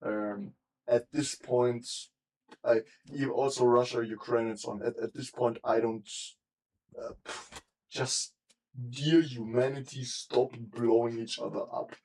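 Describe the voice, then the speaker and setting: A man's voice: medium pitch, fairly even intonation, a little slow, a little mellow, poor audio. A young man streaming casually as he works, thinking aloud in loose, rambling sentences that often trail off. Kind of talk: casual live-stream chat while working. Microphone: desk mic.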